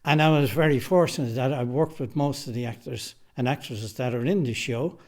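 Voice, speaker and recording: A man's voice: medium pitch, fairly quick. An Irish man who writes and directs radio drama, answering interview questions casually and emphatically, often repeating his phrases, in a recording studio.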